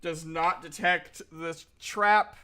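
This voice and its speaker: A man's voice: on the high side, steady tone, takes it slowly, noisy background. A man improvising casual narration as the game master of a tabletop roleplaying game, in an informal way.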